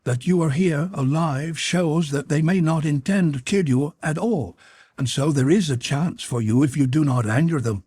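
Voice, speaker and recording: A man's voice: fairly high pitch, normal speed, a little mellow, slightly rough, dry recording, pitched above the performer's own voice. A doomed young captive woman, voiced by a male narrator in an adventure audiobook. The voice is raised and earnest, with sorrow in it and formal, old-fashioned phrasing, recorded close and dry. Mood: urgent warning. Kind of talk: audiobook character voice.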